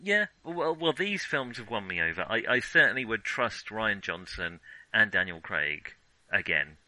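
A man's voice: lowish voice, very animated, normal speed, bright, forceful tone, dry recording, low-quality recording. A man co-hosting a film podcast in a chatty, wry, conversational way. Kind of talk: casual chat.

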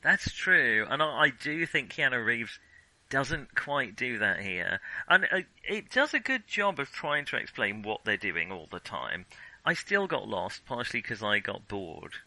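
A man's voice: medium pitch, big pitch swings, measured pace, pushed and bright, no room sound, rough recording. A middle-aged man, co-hosting a casual film discussion. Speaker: podcast co-host discussing films.

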